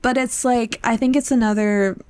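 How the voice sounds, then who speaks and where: A woman's voice: fairly high pitch, steady tone, normal speed, darkish tone, smooth, no echo. A woman podcast host chatting informally about books, opinionated and emphatic, happy to swear. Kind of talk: casual opinionated book discussion.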